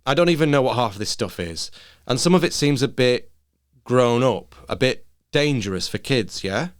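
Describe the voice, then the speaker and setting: A man's voice: medium pitch, expressive, moderate pace, clean tone, no echo, lightly acted. A man voicing an exasperated, bewildered woman, a parent who questions insistently, in a story reading.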